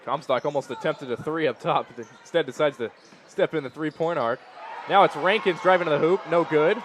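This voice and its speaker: A man's voice: fairly high pitch, brisk. A man giving live play-by-play commentary of a basketball game as the announcer. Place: indoor basketball gym during a game. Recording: loud gymnasium noise, bouncing basketballs.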